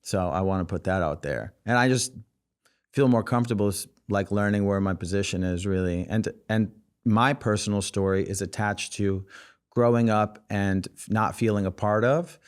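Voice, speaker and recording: A man with a fairly low, clean and resonant voice, talking loosely as a guest on a podcast. He rambles and circles back, dropping "like" and "you know" all through. The sound is a close, tidy studio recording. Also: normal speed, smooth. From United States, Israeli family. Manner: rambling, full of "like" and "you know what I'm saying". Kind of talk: casual interview conversation.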